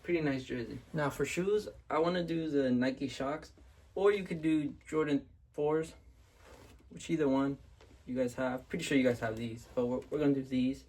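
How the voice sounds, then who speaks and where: A young man with a voice raspy from illness, chatting casually to viewers as he reviews and styles clothes at home. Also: mid-range voice, moderate pace, a little mellow. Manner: laid-back slangy talk to viewers. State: raspy from being sick. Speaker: fashion vlogger.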